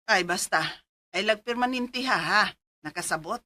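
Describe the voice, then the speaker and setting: A woman's voice: medium pitch, normal speed, very noisy, close to an everyday voice. An actress playing a middle-aged, fussy but caring mother in a Filipino radio drama, nagging expressively with a Cebuano accent. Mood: scolding, worried. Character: fussy, caring, scolding mother of grown son.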